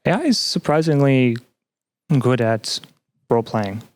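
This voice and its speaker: A man answering as a podcast guest in a conversational, explanatory way, often pausing to search for words. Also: medium pitch, lively intonation, darkish tone, clean tone, no echo. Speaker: podcast guest, student game developer.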